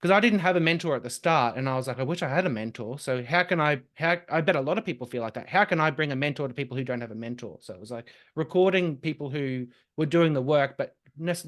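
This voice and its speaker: A young man, a podcast guest chatting casually and telling lively stories. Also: medium pitch. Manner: lively, quick storytelling with laughs and restarts. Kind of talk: casual conversation.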